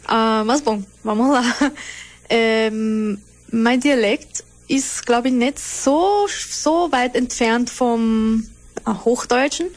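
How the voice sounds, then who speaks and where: Hesitant woman, slow. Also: on the high side. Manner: slow, hesitant, many fillers. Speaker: guest. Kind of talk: casual interview talk.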